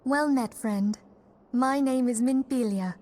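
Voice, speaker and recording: A woman's voice: on the high side, takes it slowly, a little mellow. An actress playing a kindly, earnest young female leader in a fantasy game, speaking with poised, formal sincerity. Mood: welcoming. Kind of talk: fantasy video game voice acting.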